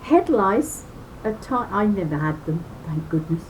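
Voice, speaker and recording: An older woman reading a children's booklet aloud in a deliberate, instructive way, with chatty personal asides. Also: very animated. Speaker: reader of a children's health booklet. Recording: noisy home recording with low rumble.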